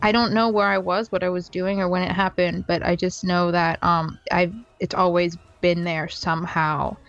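A woman's voice: on the low side, little pitch movement, moderate pace, no echo. A woman in her twenties, a podcast guest, chatting in a reflective, talkative way. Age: 20s. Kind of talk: casual podcast chat. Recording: muffled lo-fi audio with faint music.

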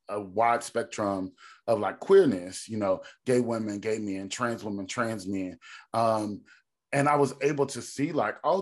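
A man from Texas with a deep, mid-pitched voice talks about his life as a guest on a podcast. He is reflective and thinks aloud, pausing on ums and restarting his sentences as he goes. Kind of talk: reflective conversational storytelling.

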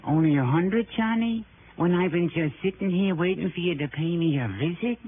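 Actor as sly high-voiced stool pigeon. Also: male voice, moderate pace, lightly acted. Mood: wheedling. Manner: streetwise, ungrammatical, wheedling. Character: sly, grasping small-time male stool pigeon. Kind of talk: early 1960s radio drama acting. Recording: hissy, muffled old radio broadcast.

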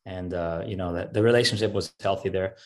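A man's voice: low voice, a little fast. A man in his thirties from Miami whose first language is Spanish, chatting easygoing as a podcast guest and rambling with lots of fillers. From Miami, United States. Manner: easygoing, rambling, many fillers like "um" and "you know". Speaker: podcast guest, country singer. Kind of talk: casual interview chat.

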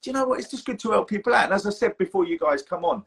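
A man's voice: high voice, rapid-fire, gravelly, a bit bright, breathy edge. A man hosting a casual interview podcast, chatty and blunt, swearing freely. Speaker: podcast host.

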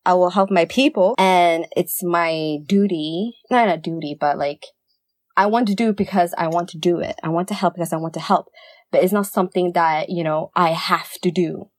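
A woman's voice: fairly low pitch, moderate pace, dry recording. A young woman from Sweden in a casual debate, repeating her words for emphasis. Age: young adult. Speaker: podcast panelist.

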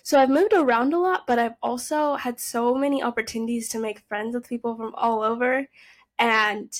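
A woman's voice: on the high side, fairly even intonation, normal speed. A nineteen-year-old woman raised in the United States, a podcast guest telling upbeat stories about her family. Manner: upbeat, chatty storytelling. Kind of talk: casual interview.

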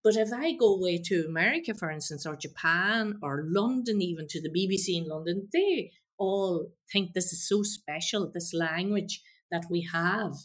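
A woman's voice: lowish voice, moderate pace, few pauses. A woman, a former teacher, talking earnestly and passionately as a podcast guest. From Ireland. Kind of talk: casual conversation.

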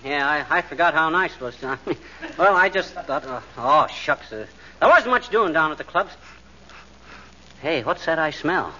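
A bumbling American husband in an old radio comedy. His husky voice swings up and down as he hems and haws sheepishly. The vintage broadcast sound is dull and muffled. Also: fairly high pitch, animated, pushed and bright, exaggerated voice. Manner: hemming, halting delivery. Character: bumbling middle-aged husband, sheepish and hesitant. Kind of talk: 1940s radio comedy acting.

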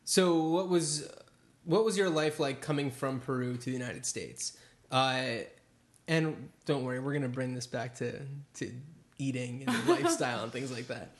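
An interviewer with a medium-pitched voice, speaking in a casual, conversational style. The delivery is easygoing and attentive, dotted with short acknowledgements. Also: expressive, moderate pace.

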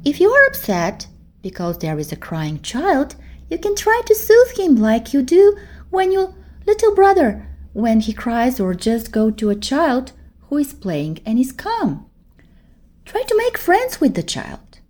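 A woman's voice: high voice, huge pitch swings, measured pace, darkish tone, pitched above the performer's own voice, full character voice. Woman doing a kind, reassuring older girl. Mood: soothing. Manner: reassuring, explaining. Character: kind caring nine-year-old girl.

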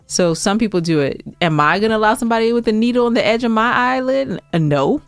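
A woman's voice: on the high side, lively intonation, quick pace, smooth, dry recording. An American woman co-hosting a podcast, bantering casually in a blunt, teasing, opinionated way, close on the microphone. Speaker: podcast co-host.

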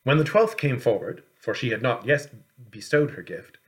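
Man reading a fairy tale expressively. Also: mid-range voice. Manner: measured, expressive storytelling. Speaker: audiobook reader. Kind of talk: scripted storybook narration.

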